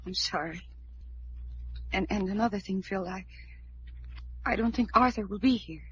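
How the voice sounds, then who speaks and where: An actress plays an anxious, loyal young woman in a vintage radio thriller. She speaks in a medium pitch, earnest and apologetic, often hesitant and pleading. The old recording sounds muffled. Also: moderate pace, a little hiss or hum, lightly acted. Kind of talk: 1940s radio drama acting.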